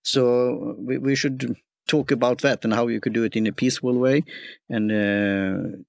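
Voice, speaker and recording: Creaky-voiced halting male host. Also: mid-range voice, fairly even intonation, no echo. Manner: halting, searching for words, many uhs. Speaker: talk show host. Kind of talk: casual interview chat.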